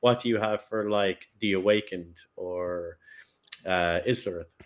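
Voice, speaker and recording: A man co-hosting a podcast in loose, rambling casual chat. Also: low-pitched. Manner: loose, rambling, with quick mimicking asides. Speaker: podcast co-host.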